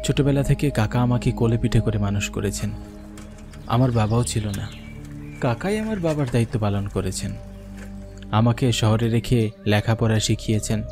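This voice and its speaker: A man plays a young schoolmaster who narrates his own tale in a horror cartoon, his voice mid-pitched and earnest. His manner is anxious, with music playing clearly beneath. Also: close to an everyday voice. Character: young adult male schoolmaster, earnest nephew.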